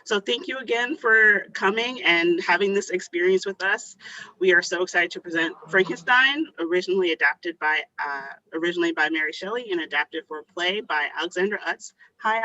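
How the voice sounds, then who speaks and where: Bright-voiced woman host. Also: medium pitch, a little fast, dry recording, lo-fi sound. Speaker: host, arts organization director. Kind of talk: welcome speech for online theatre show.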